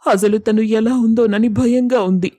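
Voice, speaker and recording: An elderly village mother acted by a woman in an audio story speaks with a soft, dark-edged tone. She is naive and anxious, pleading plaintively. The sound is dry and close. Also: a little high, steady tone, moderate pace, dry recording, lightly acted. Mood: tearful worry.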